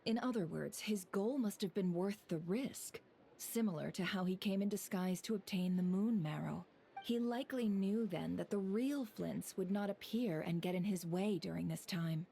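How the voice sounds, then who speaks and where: A woman voicing a composed, analytical female investigator in a video game, speaking in a measured, deliberate, formal way. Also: medium pitch, vocal fry, warm tone, a little hiss or hum, close to an everyday voice. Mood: calm, analytical.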